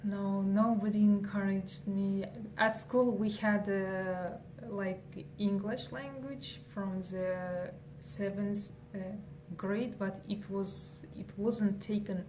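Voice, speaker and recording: Woman, 20s, Kazakh accent, slow hesitant talk, mellow tone, a bit far from the mic. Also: medium pitch, steady tone. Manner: hesitant, many fillers and restarts. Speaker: interviewee. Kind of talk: casual interview answers. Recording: faint noise, distant from mic.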